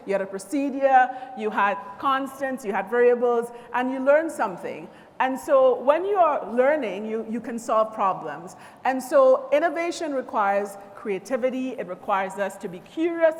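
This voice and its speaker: A woman from Trinidad and Tobago giving an encouraging keynote speech to students at a conference, speaking in a measured way. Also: a little high. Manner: measured, encouraging, lists things slowly. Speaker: conference speaker from a power company. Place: large convention hall. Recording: long reverb of a large hall.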